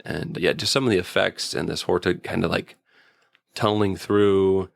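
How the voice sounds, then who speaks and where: A man's voice: low-pitched, steady tone, normal speed, vocal fry, no echo. A man co-hosting a casual, joking review chat, riffing with playful exaggeration. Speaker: podcast co-host reviewing TV episodes.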